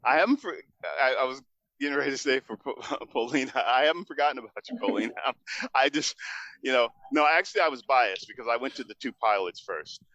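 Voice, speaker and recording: Chatty male host with a bright voice. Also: mid-range voice, fast talker, no room sound, dull audio. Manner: chatty, laughs often, restarts questions. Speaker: podcast host. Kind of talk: on-location interview. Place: aircraft manufacturing facility.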